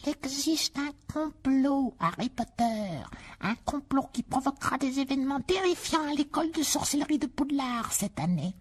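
A woman voicing a timid, tearful male house-elf who pleads in a squeaky, breathy voice and talks about himself in the third person. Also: lively intonation, moderate pace. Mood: urgent. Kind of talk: audiobook character voice.

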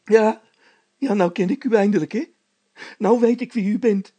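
A man voicing a stubborn, hot-tempered housekeeper woman in a storybook, shrill and vehement, repeating herself. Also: normal speed, dark tone, slightly rough, no room sound. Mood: accusing.

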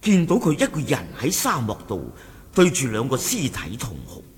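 A man's voice: medium pitch, wildly swooping pitch, moderate pace. An actor with a Cantonese accent playing a suspicious martial-arts sect lieutenant who reports deferentially to his chief in a radio drama, with emphatic exclamations. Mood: grave. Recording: long reverberant hall echo.

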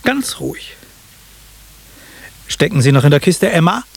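A fussy magician in a radio play. The voice is highish and creaky, swooping as he placates and frets. It has a raspy edge. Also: very animated, unhurried, exaggerated voice. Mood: flustered. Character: fussy, flustered backstreet magician and inventor. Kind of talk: German radio drama acting.

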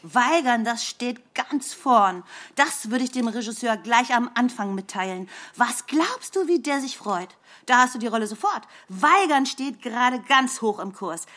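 A mocking theatre actress in a radio play, with a fairly high voice. She needles her colleague with biting, theatrical irony. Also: expressive, measured pace, a bit bright.

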